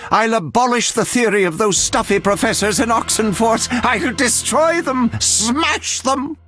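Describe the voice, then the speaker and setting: High-pitched, pompous eccentric alchemist. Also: male voice, unhurried, fairly bright tone, faint music behind. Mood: excited, grandiose. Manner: pompous, fussy, theatrical. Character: eccentric, self-important male alchemist scholar, excitable and obsessive. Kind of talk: video game voice acting.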